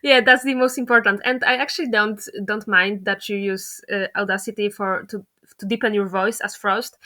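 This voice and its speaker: A woman's voice: mid-range voice, sharp tone. A woman hosting a casual interview, talking in an enthusiastic, effusive way. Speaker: interviewer, fandub creator.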